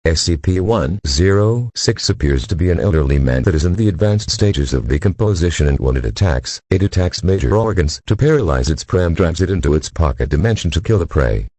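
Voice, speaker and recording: A man with an extremely deep voice narrates a scripted emergency alert. His delivery is formal and stiff, with a husky edge and a dry, close sound. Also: lively intonation, brisk, few pauses, darkish tone, dry recording.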